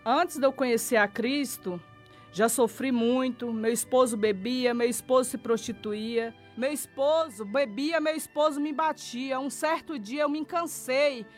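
High-voiced Brazilian woman giving church testimony. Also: unhurried. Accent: Brazilian, São Paulo state. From São Paulo state, Brazil. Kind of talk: personal religious testimony addressed to listeners. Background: music.

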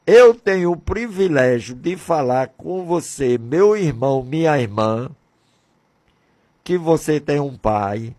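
A man's voice: fairly high pitch, expressive, takes it slowly, darkish tone. A man in his sixties from Brazil, talking on religious radio in a fervent, repetitive way with many rhetorical questions. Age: 60s. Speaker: radio presenter.

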